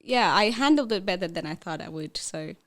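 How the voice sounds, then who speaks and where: A woman's voice: lowish voice, expressive, brisk. A young to thirties woman from Ethiopia, chatting casually as an interview guest and often breaking into laughter. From Ethiopia, later the Netherlands. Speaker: podcast guest.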